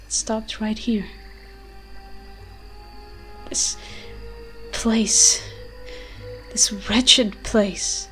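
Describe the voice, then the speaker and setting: Woman voicing a slow, solemn ancient forest demon. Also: mid-range voice, natural voice. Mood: sorrowful, foreboding, then bitter, protective anger. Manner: solemn, measured, archaic storyteller phrasing. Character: ancient hulking moss-covered forest demon, tender and protective. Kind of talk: slow, poetic audio roleplay monologue.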